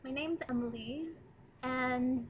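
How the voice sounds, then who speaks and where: A woman's voice: high voice, takes it slowly, husky, highs cut off. Chatty nineteen-year-old woman on webcam. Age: 19, young adult. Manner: chatty, rambling, lots of ums. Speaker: vlogger. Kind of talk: casual first video blog. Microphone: laptop webcam mic.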